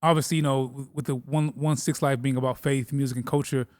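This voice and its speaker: A man's voice: mid-range voice, steady tone, quick pace, dry recording, clean studio sound. A man co-hosting a podcast interview, asking questions casually with stammered restarts and encouraging slang. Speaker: co-host.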